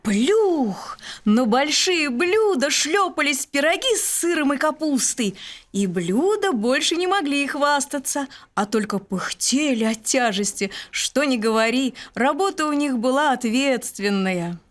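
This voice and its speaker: A woman narrating a children's bedtime story about household dishes in an expressive, playful way. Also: high-pitched, unhurried. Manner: lively, playful. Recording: studio reading over music.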